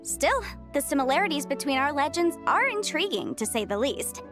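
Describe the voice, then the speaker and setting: A very high, animated young woman, bubbly and curious. She chats enthusiastically as a game character, her pitch leaping about over faint music. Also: normal speed. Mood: enthusiastic. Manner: bright-eyed, enthusiastic, conversational. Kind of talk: video game character acting.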